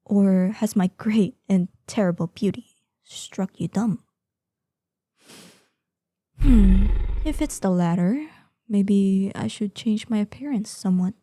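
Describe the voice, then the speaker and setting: An ancient, vain dragoness in human shape, acted by a woman, speaks with a very dark, mellow tone and a breathy softness. Her delivery is slow and imperious, with formal, theatrical phrasing fit for a fantasy audio drama. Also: medium pitch, no echo. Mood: amused, haughty. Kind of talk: scripted roleplay audio drama.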